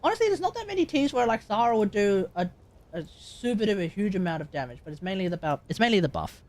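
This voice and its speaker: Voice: mid-range voice, sing-song, quick pace, a little mellow. A streamer with an Australian accent giving casual, rambling, jokey live commentary on a game. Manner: rambling, jokey, easily amused.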